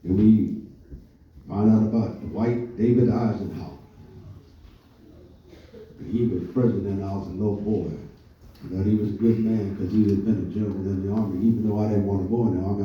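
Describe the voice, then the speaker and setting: An older man with an American accent giving an oratorical public speech, with deliberate pauses and emphasis. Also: sits low, fairly even intonation, a little hiss or hum. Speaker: speaker addressing a live audience. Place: large echoey room, speaking before an audience. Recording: echoey hall, distant muffled mic.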